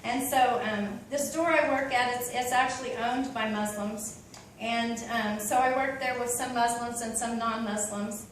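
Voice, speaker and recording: A woman's voice: fairly high pitch, fairly even intonation, measured pace, heavy reverb, far from the mic, a little hiss or hum, low-quality recording. A woman from Oklahoma with an Oklahoma accent, talking in a chatty, open way with many ums and you-knows. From Oklahoma, USA. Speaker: convert giving a talk. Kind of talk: casual personal talk.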